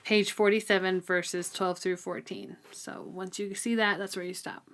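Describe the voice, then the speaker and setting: Give a woman with a mid-pitched voice co-hosting a book podcast. She talks informally, as in a relaxed book-club chat between two readers. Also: moderate pace.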